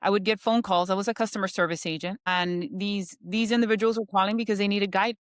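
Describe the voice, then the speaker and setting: Smooth-voiced chatty woman, dry close mic. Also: mid-range voice, fairly even intonation, fast talker, no echo. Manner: chatty, many "you know" fillers. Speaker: guest, insurance professional. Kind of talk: casual interview chat.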